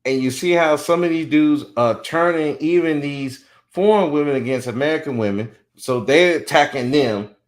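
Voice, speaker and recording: A man's voice: a little high, moderate pace. A man in his forties hosting a podcast, giving blunt, opinionated commentary and mocking others now and then. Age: 40s. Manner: blunt, animated, mocking when quoting others. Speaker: podcast host.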